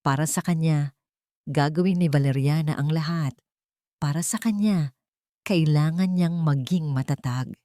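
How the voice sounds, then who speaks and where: A woman's voice: low-pitched, measured pace, long pauses, warm tone, dry recording, studio quality. A woman reading scripted dramatic narration of a romance story.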